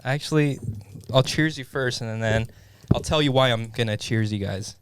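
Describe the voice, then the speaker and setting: A man's voice: medium pitch, normal speed, noisy background. A man hosting a casual podcast with friends, laughing and swearing, getting emotional. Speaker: podcast host. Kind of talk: casual chat among friends.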